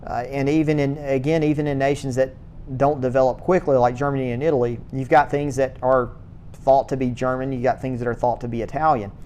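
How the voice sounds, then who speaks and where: A man giving a church history lecture to a class, speaking in a halting way, restarting words and filling pauses with uh. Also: medium pitch, flat delivery, darkish tone, a little hiss or hum. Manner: halting, repeats words, many uh fillers.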